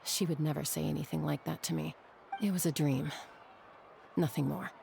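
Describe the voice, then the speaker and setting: A woman's voice: deep voice, steady tone, moderate pace, creaky, warm tone, slight background noise. A woman playing a cool, blunt, guarded young loner in an anime game. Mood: dry, guarded. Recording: faint background ambience.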